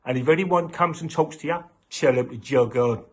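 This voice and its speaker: Bossy mum done by a bloke, high and loud, brisk orders, bit raspy. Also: male voice.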